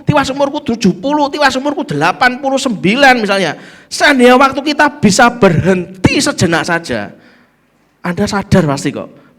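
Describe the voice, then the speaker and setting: High-voiced Javanese-accented preacher. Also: male voice, a bit bright. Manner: emphatic, calls for amen; mixes in local Javanese phrases. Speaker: pastor. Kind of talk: preaching a sermon.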